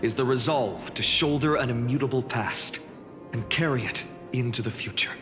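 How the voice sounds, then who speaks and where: A man's voice: mid-range voice, moderate pace, lightly acted. A man playing a young heroic warrior, earnest, resolute and burdened, in a dramatic fantasy game. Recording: long hall reverb under music.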